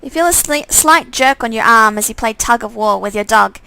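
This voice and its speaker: A woman reading a visual novel aloud in a halting way, often stumbling and correcting herself as she narrates. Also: on the high side, vocal fry, sharp tone, smooth, no echo. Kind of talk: live read-aloud narration with character dialogue.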